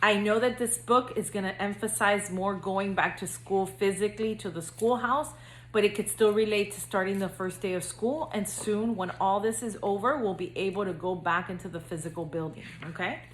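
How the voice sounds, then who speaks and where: A woman school counselor speaking to young children in a gentle, singsong read-aloud storytelling manner. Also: medium pitch, steady tone, normal speed, roomy sound, rough recording.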